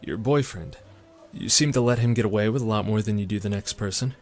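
A man's voice: on the low side, fairly quick, faint music behind, lightly acted. A man voicing a cocky young college student with a dry, teasing manner, in a comic dub. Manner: smug, teasing, laid-back.

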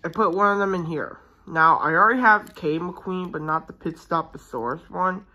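A toy reviewer giving a casual unboxing review at home, rambling and hesitant with many restarts, excited about the toys, recorded on a handheld phone. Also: medium pitch, moderate pace.

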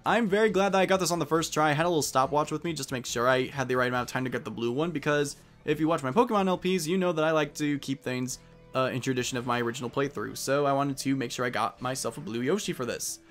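A young man giving casual, animated commentary as the host of a video game let's play. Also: medium pitch, fast, faint music behind.

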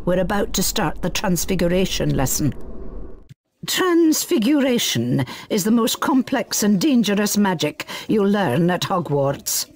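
A woman's voice: mid-range voice, big pitch swings, measured pace, a little mellow. A woman playing a strict, prim older professor in a video game, precise and authoritative. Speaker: teacher. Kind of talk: video game character acting.

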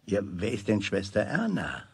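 An actor playing a kindly, easily astonished older shopkeeper in a children's radio play. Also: male voice, on the low side, huge pitch swings, moderate pace, gravelly, breathy edge, full character voice.

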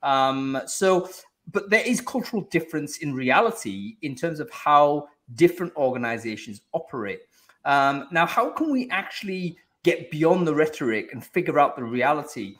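A man with a fairly high voice hosts a panel over a video call. He speaks through a headset, laughs easily and keeps the talk moving with his questions. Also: animated, normal speed, a bit bright. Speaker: host. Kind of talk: hosting a panel discussion. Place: home room, video call.

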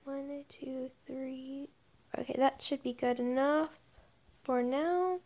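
A woman's voice: sits high, fairly even intonation, slow pace, darkish tone. A young woman giving casual commentary as she builds in a game, thinking aloud and often murmuring under her breath. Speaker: gaming video creator. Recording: noisy home recording with clicks.

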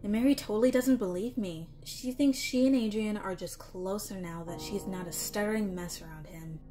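A woman's voice: mid-range voice, moderate pace, music in the background, lightly acted. A woman voicing a sassy, confident teenage girl in a comic dub, wry and gossipy. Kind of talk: animated-style comic dub acting.

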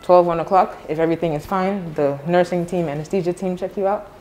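A woman's voice: fairly low pitch, steady tone, fast, warm tone, faint music behind, low-quality recording. A young woman from Ghana with an American accent, a clinic director explaining services in a friendly way while giving a tour. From Ghana, raised in the United States. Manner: friendly, explanatory, laughs easily. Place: clinic corridor indoors.

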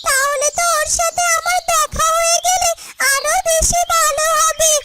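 A woman voicing a small, cautious yellow bird in a cartoon fairytale, slowly thinking aloud. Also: very high voice, steady tone, over loud music. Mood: cautious, hopeful. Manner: slow, deliberate, thinking aloud. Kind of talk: Bengali cartoon fairytale dialogue.

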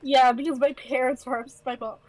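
Congested, higher-voiced woman gamer. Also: little pitch movement, a little fast, low-quality recording. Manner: chatty, nervous, asks lots of questions. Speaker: co-op gaming partner. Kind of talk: casual gameplay chat.

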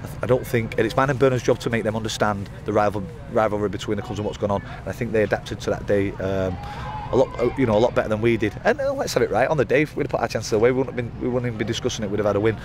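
A man in his thirties, a football manager giving a post-match interview outdoors by the pitch at night, talking in rambling runs full of fillers and restarts. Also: expressive, racing, few pauses. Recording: outdoor interview with loud background noise.

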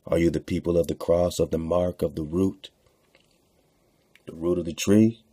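A man's voice: low voice, fairly even intonation, normal speed, mellow, husky, dry recording. A man hosting a radio show, reading texts aloud with informal commentary, in a rhetorical, preacher-like way, repeating phrases for emphasis.

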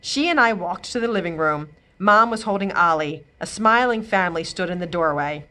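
A woman reading a children's chapter book aloud, giving the characters expressive, lively voices. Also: lowish voice, normal speed. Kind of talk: storybook read-aloud. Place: library, indoors.

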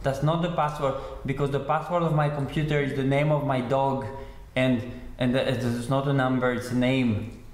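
A man with a mid-pitched voice talks haltingly, like a naive, confused customer on a support call. His English phrasing is non-native. The sound is noticeably echoey. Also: level tone. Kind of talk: hesitant phone conversation.

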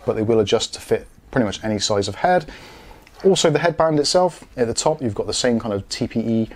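Fast-talking British man presenting a review. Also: mid-range voice, a little mellow. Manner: chatty, explanatory. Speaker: host of a product review channel. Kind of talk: casual unboxing and review presentation. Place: small home studio room, indoors.

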